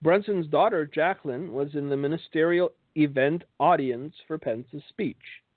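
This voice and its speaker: A man hosting a religious broadcast, delivering political and religious commentary in a sardonic, rhetorical way with dramatic pauses. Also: a little high. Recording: thin phone-like band, echoey room.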